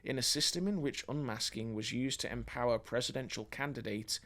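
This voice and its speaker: A man, born in England, presenting video essay commentary in an articulate, measured, academic manner. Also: mid-range voice, fairly bright tone. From born in England, grew up mostly in Australia.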